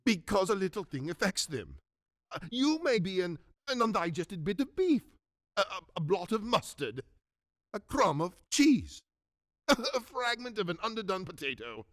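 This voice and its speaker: A man's voice: sits high, sing-song, normal speed. A man playing a frightened, stubborn old miser in a radio drama, stammering and flustered. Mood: flustered, doubting.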